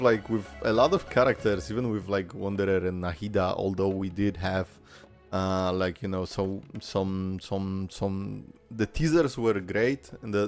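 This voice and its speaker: This young man comments on game trailers in a rambling, casual way, swearing freely. His voice is very deep and gravelly, and faint music plays under him. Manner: rambling, swearing, laughing between thoughts. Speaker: reaction video creator.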